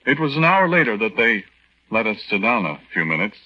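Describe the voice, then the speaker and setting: Flustered, stammering young American private. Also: male voice, fairly low pitch, very animated, fairly quick, sharp tone, exaggerated voice. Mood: confiding, agitated. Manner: hesitant, stammering, folksy mild exclamations. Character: meek, flustered young married army private, earnest everyman. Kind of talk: 1940s radio drama acting, confiding first-person narration. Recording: dull, band-limited 1940s radio recording.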